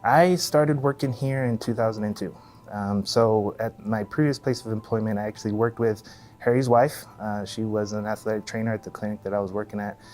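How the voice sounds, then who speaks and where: American guy from texas, middle aged, even low voice, relaxed interview talk, soft music underneath. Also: a little fast. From El Paso, Texas, USA. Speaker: physical therapist. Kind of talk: casual interview answers.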